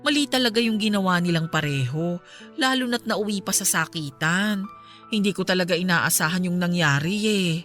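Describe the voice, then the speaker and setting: Woman acting bright-voiced, emotional Filipina mother. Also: fairly low pitch, normal speed, lightly acted. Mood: remorseful, pleading. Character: hot-headed, protective middle-aged Filipina mother, later apologetic. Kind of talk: radio drama acting. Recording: music behind the voice. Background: background music.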